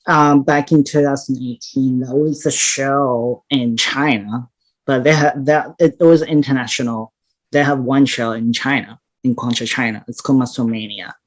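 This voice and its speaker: A woman's voice: very deep voice, fairly even intonation, normal speed, dry recording, poor audio. Female interview guest from Hong Kong, straightforward and blunt, casual talk, dry recording. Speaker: interview guest, competitive bodybuilder.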